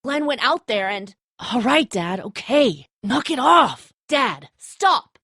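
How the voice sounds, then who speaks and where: A woman's voice: fairly high pitch, very animated, takes it slowly, fairly bright tone, husky, no room sound, studio quality, heavily acted. An actress playing a tough, stressed, protective woman who leads zombie-apocalypse survivors in a dramatic video game, speaking bluntly and with urgency. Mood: exasperated.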